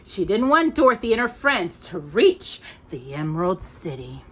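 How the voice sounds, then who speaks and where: A woman telling a children's story in an expressive, playful way, with casual asides. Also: fairly low pitch, moderate pace, breathy edge.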